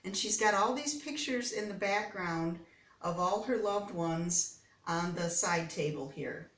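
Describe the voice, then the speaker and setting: A woman's voice: lowish voice, a little slow, a bit bright. An older American woman reads a children's picture book aloud expressively, with chatty personal asides. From Detroit, United States. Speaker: storybook reader. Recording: roomy, echoey, distant microphone.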